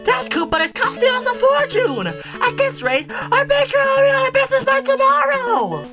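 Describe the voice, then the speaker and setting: Eager high-voiced alligator agent acted by a woman. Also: very animated, fairly quick, over music. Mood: excited. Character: Mango, eager goofy male alligator spy agent. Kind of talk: cartoon fandub acting.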